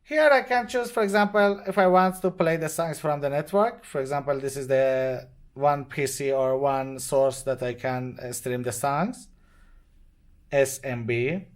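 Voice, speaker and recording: Halting man demonstrating a device, non-native English. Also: medium pitch. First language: not English. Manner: halting, short simple phrases. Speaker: product demonstrator. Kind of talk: hands-on product tutorial, unscripted step-by-step explanation. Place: indoors at a demo bench with devices.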